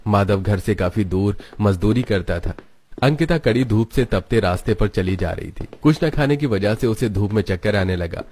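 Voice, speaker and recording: Guy narrating a kids cartoon story, lowish voice, scripted storyteller read. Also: lively intonation, moderate pace, darkish tone.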